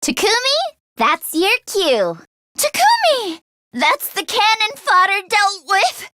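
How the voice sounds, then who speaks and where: A woman voicing a nervous, expressive teenage girl fighter in an English video game, with casual youthful phrasing. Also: very high-pitched, slow, heavily acted. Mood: determined.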